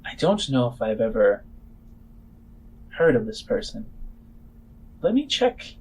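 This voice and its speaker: A man's voice: medium pitch, big pitch swings, slow pace, a little mellow, slight background noise, rough recording. A man voicing a shrewd, guarded woman who runs a bar in a space-fantasy tabletop game, musing and questioning. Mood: suspicious, intrigued. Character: shrewd, cautious woman bar owner in space, coolly intrigued.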